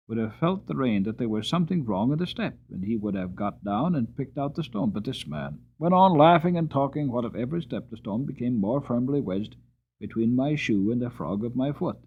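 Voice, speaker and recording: A man's voice: medium pitch, a little hiss or hum. A man reading a classic story aloud dramatically, stretching words for emphasis. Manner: expressive, drawn-out emphasis. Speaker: audiobook reader. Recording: tinny phone-like audio.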